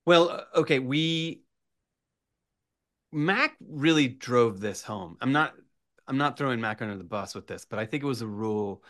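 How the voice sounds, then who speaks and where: A man speaking as a writer guest in a casual interview, rambling and animated, often hesitating and correcting himself. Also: mid-range voice, no echo, clean studio sound. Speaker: podcast guest, horror writer.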